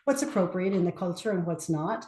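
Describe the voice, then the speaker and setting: A woman's voice: lowish voice, fairly quick, warm tone, echoey room. An older woman telling travel stories on a webinar, with many restarts and repeated words. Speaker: guest educator. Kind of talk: casual webinar chat.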